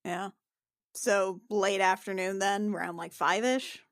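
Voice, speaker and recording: Woman playing a tabletop game, chatty improv, medium pitch, really dry recording. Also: fairly even intonation, measured pace, no room sound. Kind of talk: casual chat and improvised role-play.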